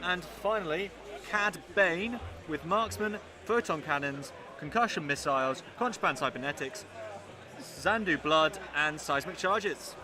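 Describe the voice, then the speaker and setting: High-voiced British co-commentator, dry wit. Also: male voice, lively intonation, measured pace. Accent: British English. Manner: dry, teasing humour. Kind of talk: live game commentary. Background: crowd chatter of a tournament hall.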